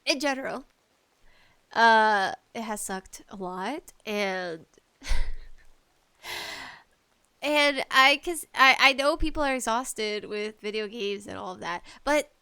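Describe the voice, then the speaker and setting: A woman hosting a casual, rambling solo podcast chat, enthusiastic and animated in her emphasis. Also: on the high side, takes it slowly, pauses often, clear, resonant tone. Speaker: podcast host talking about video games.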